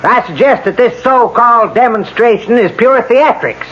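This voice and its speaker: An actor playing a skeptical, scornful prosecutor in a radio drama, formal and sharply challenging. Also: male voice, extremely high pitch, lively intonation, normal speed. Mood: indignant. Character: combative, skeptical male prosecutor, scornful and objecting. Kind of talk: 1970s-80s radio drama acting. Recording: dull, hissy old radio broadcast.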